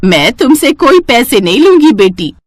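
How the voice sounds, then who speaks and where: A woman's voice: on the high side, big pitch swings, moderate pace, no echo, pitched above the performer's own voice. A woman voicing a generous older village auntie in a cartoon story, in a reassuring manner. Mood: kind, firm.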